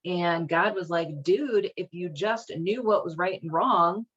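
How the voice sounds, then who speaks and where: A woman giving casual, irreverent commentary as a host, with a sardonic, halting, colloquial delivery. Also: fairly low pitch, a bit bright, husky, muffled recording. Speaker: host of a video series on leaving religion.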